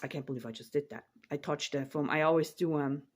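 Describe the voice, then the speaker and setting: A woman's voice: sits low, quick pace. A woman in her forties from Switzerland, chatting casually to camera in her home office, rambling and repeating words as she trails off. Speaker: online reseller and vlogger.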